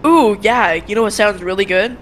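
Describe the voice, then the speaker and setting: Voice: mid-range voice, normal speed, a little hiss or hum, natural voice. A young-sounding player acting as an eager teenage son in a casual video game roleplay.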